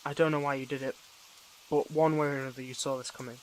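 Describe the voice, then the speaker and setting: A man playing a weary, defiant young superhero in a dramatic audio roleplay, speaking haltingly and broodingly to the listener. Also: mid-range voice, little pitch movement, a little hiss or hum, lightly acted, poor audio. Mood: bitter, reflective.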